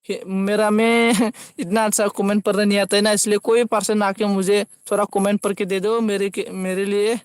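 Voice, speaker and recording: High-pitched man, halting livestream chatter. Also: flat delivery, smooth, no room sound. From Assam, India. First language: Bodo. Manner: halting, repeats phrases as he reads. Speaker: live streamer. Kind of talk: casual live chat, reading out comments.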